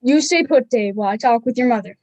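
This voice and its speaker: A woman in an amateur play acting a stern small-town husband and father, gruff and reproachful. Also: a little high, fast talker, darkish tone, no echo, lightly acted. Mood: stern, scolding. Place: filmed on a video call.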